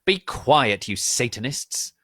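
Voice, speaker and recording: A man's voice: mid-range voice, very animated, takes it slowly, pushed and bright, no echo, whispered, clean studio sound, pitched above the performer's own voice, exaggerated voice. A man voicing a cruel, mean wizard headmaster who scolds and cackles, in a dramatic story reading. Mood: mean scolding.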